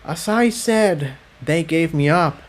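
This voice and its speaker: A man puts on a weary mare who has defected, in a storybook reading. The voice is lifted in pitch, slow and hesitant, with each word carefully chosen. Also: expressive. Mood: hesitant, sad. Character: weary, hesitant female pony defector explaining herself.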